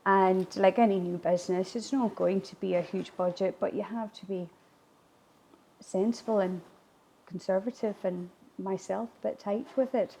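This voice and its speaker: A woman who grew up speaking Irish Gaelic talks in an interview about her work. Her voice has a gravelly creak and sits at a middling pitch. She is reflective, often pausing partway through a phrase to find her words. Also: fairly even intonation, dark tone, a little hiss or hum, low-quality recording. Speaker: whisky distillery visitor attraction manager. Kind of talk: interview, recounting a business launch.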